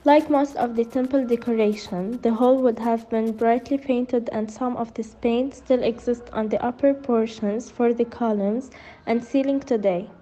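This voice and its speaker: Woman, documentary narrator, soft-edged mellow voice, scripted read with stumbles, faint music behind. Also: fairly high pitch, rough recording. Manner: stumbles over words and repeats them while reading.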